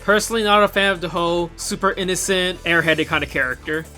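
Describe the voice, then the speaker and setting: A man's voice: high voice, fast, bright, forceful tone. A young adult man reading a scripted but casual video game review, with wry, informal asides. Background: background music.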